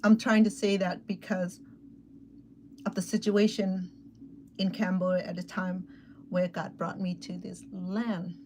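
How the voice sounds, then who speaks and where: A woman's voice: fairly low pitch, flat delivery, measured pace, pauses often, darkish tone. A chatty middle-aged woman with a Thai accent talks straight to her viewers in an easygoing, personal way. She keeps checking in with them as she goes. There is a faint hum of background noise behind her voice. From Thailand. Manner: chatty, addresses viewers directly with frequent "okay" and "remember". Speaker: cooking channel host. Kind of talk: casual personal storytelling to viewers.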